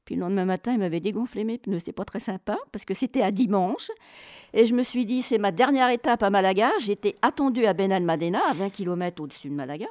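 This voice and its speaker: A woman telling travel stories as an interview guest, chatty, colloquial and expressive, acting out bits of dialogue. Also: medium pitch, fast, dry recording, studio quality. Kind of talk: animated travel storytelling.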